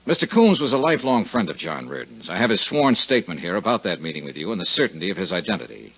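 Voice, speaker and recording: Persistent, courteous radio investigator of the 1950s: husky, animated delivery, cool temper, lo-fi vintage sound. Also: male voice, low-pitched, quick pace, full character voice. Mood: firm, probing. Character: cool, persistent, polite male insurance investigator. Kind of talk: 1950s radio detective acting and first-person narration. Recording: dull 1950s radio recording.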